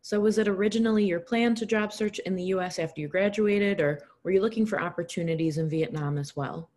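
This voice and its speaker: A woman's voice: mid-range voice, fast talker, darkish tone. A woman hosting an interview show, reading encouraging, scripted questions to her guest. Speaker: podcast host interviewer.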